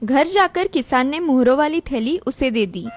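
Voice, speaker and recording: Woman reading a kids storybook style tale, high voice, light music behind. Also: lively intonation, moderate pace. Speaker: narrator. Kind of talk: scripted storybook narration.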